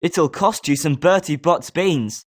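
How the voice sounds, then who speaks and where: A man's voice: a little high, a little slow, lightly acted. A male actor playing a smug, rivalrous schoolboy at a wizard school in scripted video game lines. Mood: informative.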